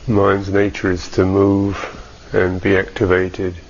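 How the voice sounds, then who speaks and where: A man teaching a guided meditation in a measured, halting way with long pauses, on a dull recording. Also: deep voice, monotone, a little hiss or hum.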